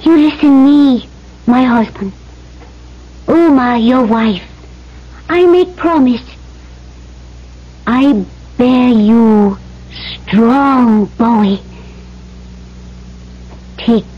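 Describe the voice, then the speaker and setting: A woman playing a devoted, brave young South Sea islander wife who speaks halting pidgin English in an old-time radio drama. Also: a little high. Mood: solemn, tender. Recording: dull, noisy vintage radio recording.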